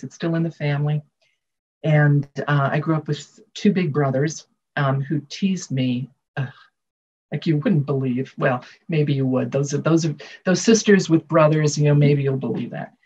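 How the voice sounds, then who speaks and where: An older American woman with a husky voice, answering interview questions with reflective storytelling. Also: low voice, fairly even intonation, normal speed, a little mellow. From Massachusetts, United States. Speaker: guest author. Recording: dull, muffled lo-fi audio.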